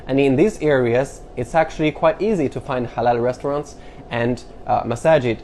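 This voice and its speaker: Male YouTuber, earnest explainer, mid-pitched, faint background hiss. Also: moderate pace, a little mellow. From China. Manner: earnest, punches up each numbered point. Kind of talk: explainer vlog counting down a list.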